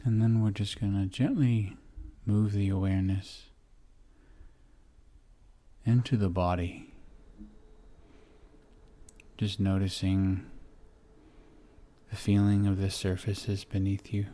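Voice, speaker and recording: Slow, low-voiced man guiding relaxation. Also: little pitch movement. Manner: gentle, soothing, long pauses between short phrases. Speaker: guide of a relaxation exercise. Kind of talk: guided meditation, body scan.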